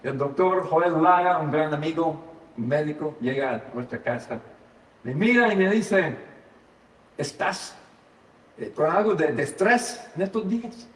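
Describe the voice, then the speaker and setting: A man's voice: a little high, animated, measured pace. An older American man who speaks English as his first language, preaching a sermon, telling a personal story with light self-deprecating humor and frequent hesitations. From United States. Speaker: guest preacher. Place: church sanctuary. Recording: echoey church hall from far off.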